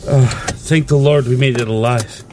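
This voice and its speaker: An actor playing an idealistic, self-righteous priest in an audio sitcom, earnest and easily exasperated. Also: male voice, medium pitch, steady tone, normal speed, natural voice. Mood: earnest, defensive.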